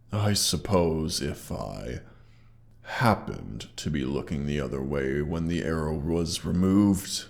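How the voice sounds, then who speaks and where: Mighty dragon, extremely low voice, very slow, haughty, effect-treated, audio roleplay. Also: male voice, a little mellow, full character voice. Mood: proud, scheming. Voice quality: gravelly. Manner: pompous, condescending, deliberate theatrical phrasing. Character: proud, mighty male dragon, haughty yet grudgingly grateful. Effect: sound effects processing on the voice. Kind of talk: scripted character roleplay audio.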